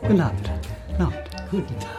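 An actor playing a fussy event host, polite and bumbling in broken sentences, in a naturalistic radio drama. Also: male voice, fairly high pitch, very animated, racing, loud music behind the voice. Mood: polite, flustered. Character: fussy, flustered event host checking guests against list.

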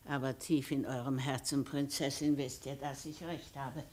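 An actress playing a very old, mild and candid servant in a 1950s radio play, respectful yet gently admonishing. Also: female voice, extremely low pitch, fairly even intonation, moderate pace. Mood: gently reproachful.

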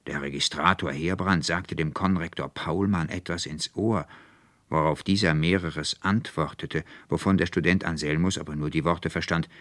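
A man narrates a classic story as an expressive audiobook reader. His voice is deep and gravelly, recorded dry and close. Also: no echo. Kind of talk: expressive scripted literary narration.

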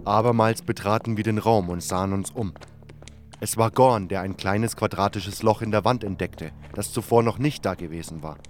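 A man's voice: lowish voice, moderate pace, slight background noise. A man reading scripted first-person narration for a fantasy audio drama. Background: background music.

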